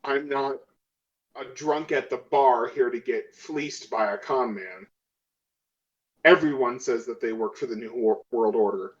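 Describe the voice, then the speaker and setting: A man's voice: mid-range voice, measured pace, close to an everyday voice. Man as a skeptical crewman, muffled lo-fi sound. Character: skeptical, self-interested crew member demanding proof.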